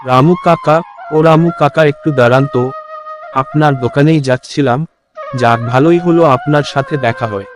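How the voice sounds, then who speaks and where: A man's voice: on the high side, normal speed, faint music behind, lightly acted. A man voicing an earnest village husband and father in a cartoon. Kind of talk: cartoon voice acting.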